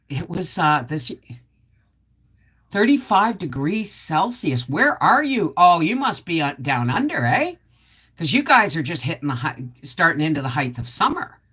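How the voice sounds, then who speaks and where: Rambling, chatty woman, low voice. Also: fairly bright tone, husky. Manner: chatty, rambling, answers viewer comments. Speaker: homestead channel host. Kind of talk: casual live-stream chat.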